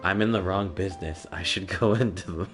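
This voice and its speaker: A man's voice: low voice, fairly even intonation, brisk, fairly bright tone. A man giving casual, sweary reactions as he streams a game. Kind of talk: casual live-stream commentary over a game. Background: game music.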